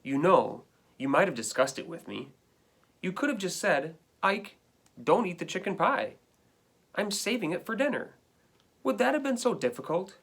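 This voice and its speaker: A man's voice: sits high, very animated, normal speed, long pauses, a bit bright, voice pushed up, exaggerated voice. A man reading a storybook as an indignant, put-upon pet dog, complaining in a dramatic, emphatic way. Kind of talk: storybook read-aloud.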